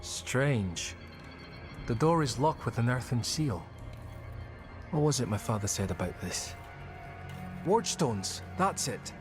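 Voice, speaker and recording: A man's voice: mid-range voice, big pitch swings, slow pace, pauses often. An actor playing an earnest young male druid companion in a dramatic video game. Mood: wary, puzzled. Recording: game music underneath. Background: game music.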